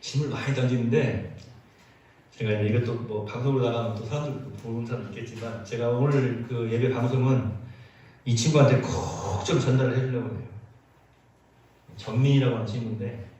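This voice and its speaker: A male pastor preaching a sermon in an animated, storytelling way, with many acted quotes. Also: mid-range voice, a little fast. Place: church sanctuary. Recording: echoey church sound from a distance.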